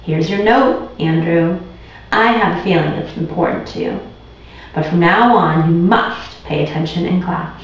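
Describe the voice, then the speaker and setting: A woman's voice: on the low side, measured pace, natural voice. Woman reading as an orderly schoolteacher, echoey room. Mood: kind. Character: schoolteacher, orderly, weary but kind. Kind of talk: children's storybook read aloud. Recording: very echoey room, distant muffled mic.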